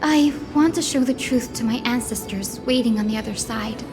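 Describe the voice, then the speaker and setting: A high young female voice over clear music, an actress as a gentle priestess from a fantasy game. She speaks softly and politely, with great earnestness. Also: normal speed, natural voice. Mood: earnest. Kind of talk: video game voice acting.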